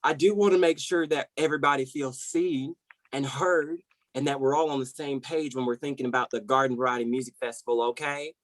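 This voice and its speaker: An actor playing an effusive, rambling, over-eager team manager, who stumbles and repeats himself, in a comedy web series. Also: male voice, medium pitch, steady tone, fairly quick, no echo, natural voice. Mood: placating.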